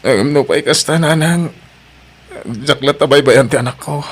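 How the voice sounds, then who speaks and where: A man plays a gentle young husband and student in a radio-style drama. He speaks a little high, earnestly and with a pleading, dutiful tone. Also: normal speed, natural voice. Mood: hurt, pleading. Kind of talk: Ilocano audio drama acting.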